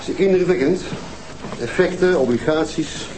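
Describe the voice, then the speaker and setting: A man's voice: a little high, normal speed, background noise, natural voice. A man acting a cynical, impulsive younger detective with a colloquial delivery in a TV crime drama. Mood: brisk. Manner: colloquial, sardonic. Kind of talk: naturalistic TV crime drama dialogue.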